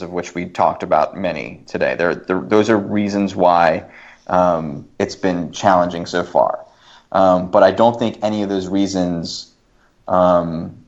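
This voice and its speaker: A man speaking as a podcast guest in a casual panel discussion, arguing haltingly and often reworking his sentences. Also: low-pitched. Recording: dull, lo-fi voice recording.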